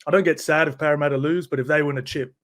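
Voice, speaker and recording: A young Australian man talks on a podcast in an easygoing, slang-heavy way and laughs often. His voice sits a little higher than most men's. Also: steady tone, very fast, fairly bright tone. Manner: laid-back, slangy, says "bro" a lot, laughs often. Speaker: podcast guest. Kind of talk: casual chat.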